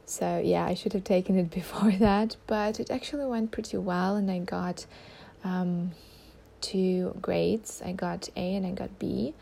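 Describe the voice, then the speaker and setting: A woman's voice: lowish voice, steady tone, unhurried, gravelly, dark tone, slight background noise. A young adult woman hosting a casual solo podcast, talking in a rambling way with many ums and restarts. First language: not German. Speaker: podcast host.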